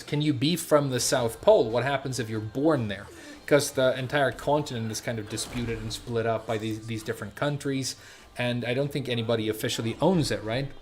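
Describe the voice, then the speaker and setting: A young man from Sweden telling a rambling story on his stream, full of fillers and restarts. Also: mid-range voice.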